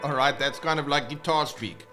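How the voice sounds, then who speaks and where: A man's voice: fairly high pitch, normal speed. A man with a South African accent, teaching guitar in a casual, rambling livestream with many fillers and restarts.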